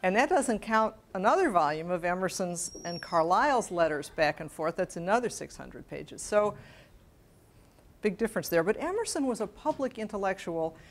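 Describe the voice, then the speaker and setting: A woman's voice: medium pitch, sing-song, moderate pace. An American woman in her sixties, a scholar delivering a prepared lecture. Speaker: scholarly editor giving a lecture. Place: library event room, at a lectern. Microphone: lapel microphone.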